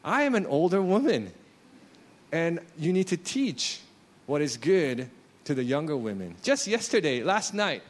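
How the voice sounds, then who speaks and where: Man, late thirties, pastor, casual sermon, lively voice, fairly high pitch. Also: a little slow. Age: 30s. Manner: conversational, self-deprecating, animated.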